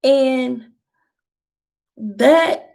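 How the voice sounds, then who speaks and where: 30 yr old woman vlogging q&a, kinda high voice, gravelly, rambly and encouraging, lots of slang. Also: extremely slow, darkish tone. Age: 30s. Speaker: vlogger answering viewer questions. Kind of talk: casual chat.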